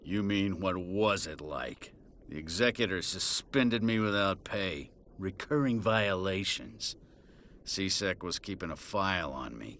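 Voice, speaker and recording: A disgraced, boozy middle-aged cop in a video game. His low voice has a sharp, forceful edge and a sneering, sardonic delivery, with faint noise behind it. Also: full character voice.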